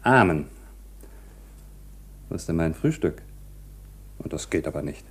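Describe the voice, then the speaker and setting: An actor playing a fussy, self-important bank official grumbling to himself in a theatrical radio drama style. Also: male voice, a bass voice, expressive, a little slow, husky, heavily acted. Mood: irritated. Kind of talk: classic German radio drama acting. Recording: faintly noisy old radio recording.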